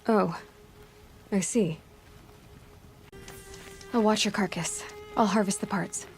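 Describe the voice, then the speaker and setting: An actress playing a determined, questioning young outcast huntress in a video game, direct and challenging. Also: female voice, mid-range voice, takes it slowly, soft background music. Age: young adult.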